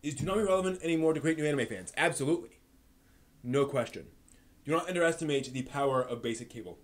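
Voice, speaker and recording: A man's voice: fairly high pitch, fast talker. A man hosting a live stream, talking through anime reviews and discussion in a conversational way.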